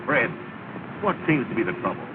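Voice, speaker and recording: A man plays an impatient, well-off husband in an early talkie, his lively voice rising and falling as he frets. He sits back from the microphone, and the thin, phone-like sound carries hiss. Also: mid-range voice, a little fast, far from the mic, lightly acted. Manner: fretful and clipped. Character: fussy, impatient middle-aged well-to-do husband. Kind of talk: 1930s film acting. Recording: tinny, hissy 1930 film soundtrack, set back from the mic.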